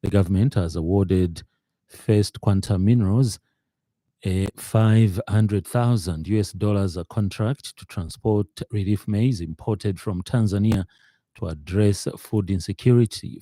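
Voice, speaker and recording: A man with a Zambian accent reading a scripted radio news bulletin in a measured, formal, slightly hesitant way. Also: low voice, dark tone, dry recording. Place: radio studio.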